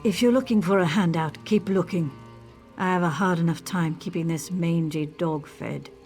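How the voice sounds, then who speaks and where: A woman voicing a grumpy, sharp-tongued, put-upon commoner in scripted game dialogue. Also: fairly low pitch, normal speed, faint music behind, close to an everyday voice. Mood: irritated.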